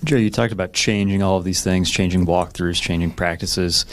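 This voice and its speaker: A man's voice: deep voice, steady tone, normal speed. A man working as a newspaper reporter, asking a question at a press conference.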